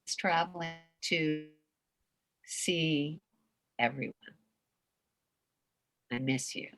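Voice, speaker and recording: This Canadian woman has a notably low voice and talks in an animated, rambling way, often restarting her sentences. She is a voice actress answering questions over a video call. Her audio is close and dry. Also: takes it slowly, no room sound. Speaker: voice actress guest. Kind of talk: casual chat in a video call Q&A.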